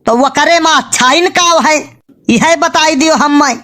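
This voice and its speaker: A woman plays a skeptical cartoon villager with a high, bright, cutting voice and an Awadhi accent. The figure is picky and argumentative, answering in dry, colloquial comic retorts. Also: measured pace.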